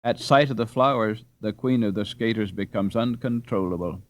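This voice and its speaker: A man's voice: fairly low pitch, normal speed. Man narrating a storybook expressively. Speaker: audiobook reader.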